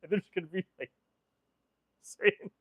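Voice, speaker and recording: Chatty young man, slightly high voice. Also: huge pitch swings, moderate pace, a bit bright, no echo, poor audio. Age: 20s. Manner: reads viewer comments aloud and rambles back; laughs a lot, stumbles and repeats words. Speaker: streamer. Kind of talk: casual livestream chat.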